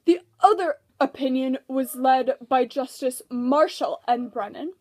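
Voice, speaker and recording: A woman's voice: high-pitched, measured pace, a little mellow. A woman giving scripted educational narration for a puppet show.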